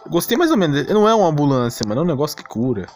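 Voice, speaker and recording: A man's voice: on the high side, sing-song, very fast, a little mellow. A man with a Brazilian accent giving casual, slangy, explanatory live commentary as a gaming streamer. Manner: slangy, explanatory, asks and answers own questions. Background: game music.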